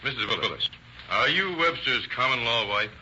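A man's voice: medium pitch, animated, moderate pace, bright, forceful tone. A man playing a blunt, direct police lieutenant in a radio crime drama, clipped and skeptical. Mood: pressing. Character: brisk, blunt male police lieutenant pressing for answers. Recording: dull, noisy old radio recording.

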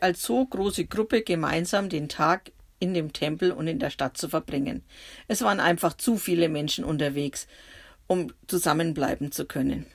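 A woman narrating a bible story for children in the first person, gentle and reflective. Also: fairly low pitch, gravelly.

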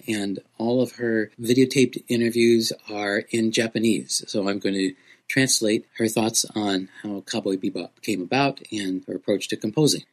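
A man's voice: on the low side, poor audio. A man hosting a podcast music segment, explaining things in an informal presenter's way.

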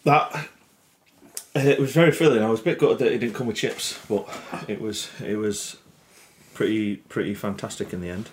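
A man's voice: fairly low pitch, fairly even intonation, normal speed, slight background noise. A young man with a Northern English accent co-hosting a casual podcast, chatty and jokey, often repeating and restarting words. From England. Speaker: podcast co-host. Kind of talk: casual chat.

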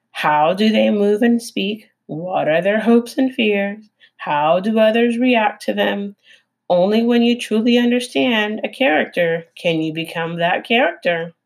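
A woman's voice: mid-range voice, voice pushed up. Woman doing a showy theatrical opossum. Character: theatrical female opossum, dramatic actress type.

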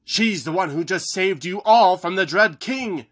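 A man voicing an earnest male leader who proclaims and pleads forcefully in a storybook reading. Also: sits high, expressive, a little slow, voice pushed up, exaggerated voice. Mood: urgent.

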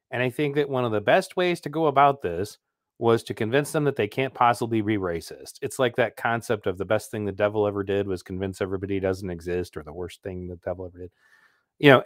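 A man's voice: medium pitch, no room sound, clean studio sound. Rambling man hosting a live stream. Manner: rambling, reads chat messages aloud. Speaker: livestream host. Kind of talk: casual live reaction commentary.

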